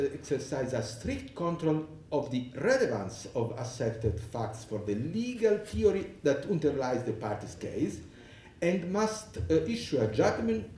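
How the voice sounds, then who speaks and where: Conference speaker: Italian man, English not his first language, animated pitch, halting restarts, echoey hall mic. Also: far from the mic, slight background noise. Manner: halting, many restarts and fillers. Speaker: panel speaker on law. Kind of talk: academic conference talk. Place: lecture hall.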